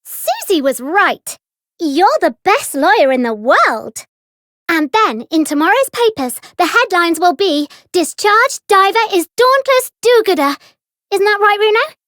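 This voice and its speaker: A woman's voice: extremely high pitch, very animated, a little slow, fairly bright tone, no echo, clean studio sound, full character voice. A woman voicing a perky, precocious little girl inventor in a video game, eager and chirpy.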